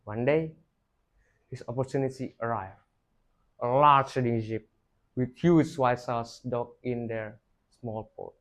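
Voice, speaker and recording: A man's voice: mid-range voice, a little slow, long pauses, a bit bright. Young man telling a folktale. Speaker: student storyteller. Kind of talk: dramatic rehearsed folktale storytelling.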